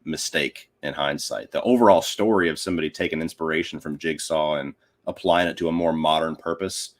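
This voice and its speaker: A man's voice: rumbling low, steady tone, a little fast. A man talking as a podcast guest, giving his opinions in a casual film discussion.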